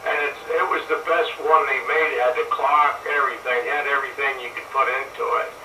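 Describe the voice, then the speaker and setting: A man chatting casually over the radio, rambling along in a halting, searching way. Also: mid-range voice, level tone, pushed and bright. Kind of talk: casual radio hobbyist chat. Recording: echoey, distant, noisy CB radio transmission.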